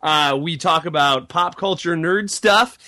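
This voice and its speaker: A man's voice: a little high, measured pace, sharp tone, no echo, studio quality. A man hosting a podcast in a jokey, upbeat casual chat, laughing often. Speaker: podcast host.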